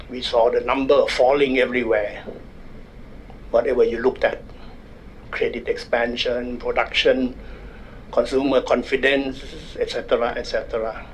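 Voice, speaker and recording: An older man with a Thai accent, speaking at a desk microphone as a guest speaker at a university public forum, wry and measured with self-deprecating humor. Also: mid-range voice, a little hiss or hum, rough recording. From Thailand. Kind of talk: public lecture. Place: university conference room.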